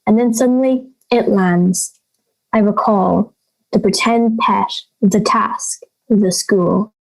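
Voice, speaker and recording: A young woman acting a lonely, fearful girl who voices brooding inner thoughts in halting phrases in an audio drama. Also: medium pitch, creaky, low-quality recording. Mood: lonely, anxious.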